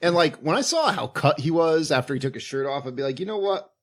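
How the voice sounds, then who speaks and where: A man's voice: fairly high pitch, expressive, fast talker. A man co-hosting a movie podcast, joking through the plot and acting out characters with frequent laughter. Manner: laughs often, jokey, acts out characters. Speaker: podcast co-host. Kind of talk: casual movie recap chat.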